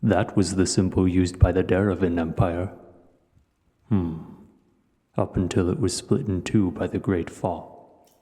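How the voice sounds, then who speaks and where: Huge menacing dragon: dark, cavernous reverb, pompous and formal, for audio roleplay. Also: male voice, steady tone. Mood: intrigued, measured. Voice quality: deep, dark voice. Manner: slow, deliberate, formal, pompous. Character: huge male dragon, imperious, menacing, learned, coolly curious. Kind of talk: scripted audio roleplay voice acting.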